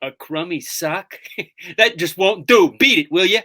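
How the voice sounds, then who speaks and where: Brash, sharp-voiced puppet talent agent. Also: female voice, fairly low pitch, measured pace. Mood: dismissive. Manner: brusque, showbiz barker. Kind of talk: puppet show character monologue.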